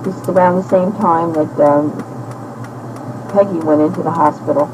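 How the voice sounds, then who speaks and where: A woman in her sixties talking slowly and haltingly into a personal audio diary, with many pauses and fillers, heard from an old cassette tape. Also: deep voice, steady tone, background noise. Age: 60s. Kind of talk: rambling spoken diary.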